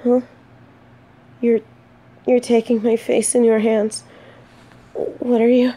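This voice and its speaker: A woman's voice: fairly high pitch, level tone, slow pace, mellow. A woman playing an earnest, remorseful exiled female knight in a romantic fantasy audio roleplay, speaking solemnly and faltering when flustered. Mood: tender, smitten. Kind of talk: scripted romantic audio roleplay, addressing the listener.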